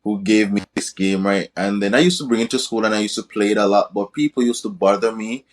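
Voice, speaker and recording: A man's voice: fairly low pitch, fairly even intonation, fast talker. A young man speaking as a podcast guest in a casual interview, often tagging his sentences with "right?". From Jamaica. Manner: tags many sentences with "right?". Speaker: guest, crypto and business coach.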